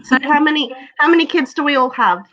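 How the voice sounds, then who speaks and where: A woman's voice: high-pitched, animated, fast, fairly bright tone, lo-fi sound, soft background music. A woman hosting a casual live chat over a video call, asking her guests questions. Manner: chatty, leads with questions. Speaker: host.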